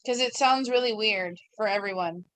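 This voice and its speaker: A woman's voice: medium pitch, normal speed, a bit bright. A woman student pointing out a mic problem on a class video call, polite and matter-of-fact.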